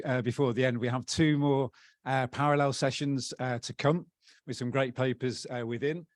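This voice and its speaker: A man, the outgoing president of an association, giving upbeat, joking closing thanks to a conference, halting often to find his words. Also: medium pitch, dry recording, studio quality. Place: conference hall plenary.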